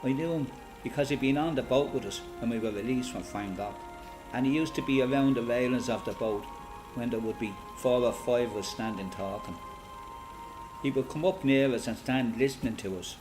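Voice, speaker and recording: An actor playing an Irish freedom fighter veteran, giving matter-of-fact first-person testimony in an Irish accent for a radio play. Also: male voice, medium pitch, brisk, music in the background, lightly acted. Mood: matter-of-fact, reminiscing. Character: plain-spoken Irish volunteer veteran recounting his fighting days.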